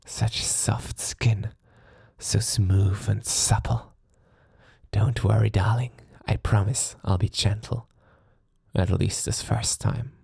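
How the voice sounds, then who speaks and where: An ancient vampire lord, acted by a man, speaks very low and close in a dry, intimate space. He is sadistic and possessive, lacing his commands with courtly endearments. The delivery is theatrical ASMR roleplay, taunting and silkily menacing, with a creaky edge. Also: fairly even intonation, takes it slowly, darkish tone, dry recording, full character voice. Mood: hushed, hungry. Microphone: close studio mic, dry.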